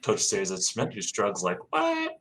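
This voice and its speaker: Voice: low-pitched, fairly bright tone. A podcast host reading stage directions aloud at a casual table read, in a matter-of-fact way.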